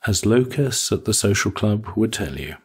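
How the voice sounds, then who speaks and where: Audiobook narrator: man, deep pitch, strongly raspy, scripted storytelling read. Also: lively intonation, normal speed.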